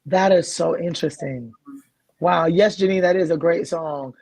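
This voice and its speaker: A man's voice: on the high side, moderate pace, vocal fry, darkish tone, no room sound. A man hosting an interview, reacting enthusiastically and reading out viewer comments. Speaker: interview host. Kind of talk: casual interview.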